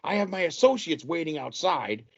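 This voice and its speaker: A man playing a slick, grandiose wrestling promoter with a husky voice in a comedic audio play. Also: a little high, lively intonation, normal speed, muffled recording. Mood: ingratiating.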